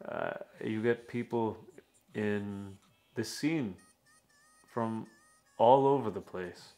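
A man from Sri Lanka explaining the comedy scene in a measured way during an interview. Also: fairly low pitch, warm tone. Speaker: stand-up comedian. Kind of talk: documentary interview.

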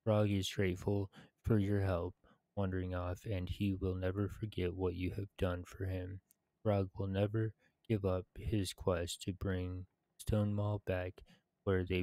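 A man in his thirties reading game quest text aloud in measured phrases, with short pauses and some casual narration. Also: sits low, dark tone, no room sound. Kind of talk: scripted read-aloud with casual gameplay narration.

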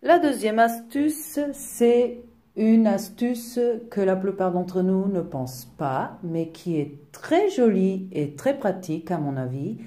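A woman's voice: mid-range voice, dark tone. A woman whose first language is Portuguese, talking through a home decor tutorial in a friendly way, hesitating often with fillers. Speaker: DIY decor vlogger. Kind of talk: tutorial voice-over.

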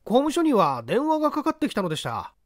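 Man acting an insistent labourer, pitched well up. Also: wildly swooping pitch, fast, no echo, studio quality, exaggerated voice. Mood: earnest, urgent. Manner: emphatic, insistent. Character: earnest male labourer witness giving emphatic testimony. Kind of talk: dramatic audiobook reading.

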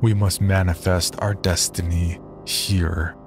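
A man's voice: low voice, flat delivery, slow pace, music in the background, pitched below the performer's own voice. A man voicing a cold, disdainful frontier man who speaks curtly. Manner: cold, curt.

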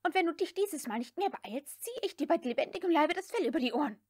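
A woman voicing a headstrong, impatient young Southern woman in an audiobook, speaking in a brisk, commanding way. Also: sits high, expressive, a little mellow, voice pushed up. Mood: angry, urgent.

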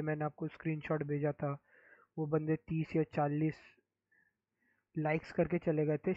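A young man from India live-streaming a game, speaking softly and hoarsely with a sore throat in casual chat. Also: a little high, dry recording, narrow, tinny audio. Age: young adult. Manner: speaks softly, mic-checking.

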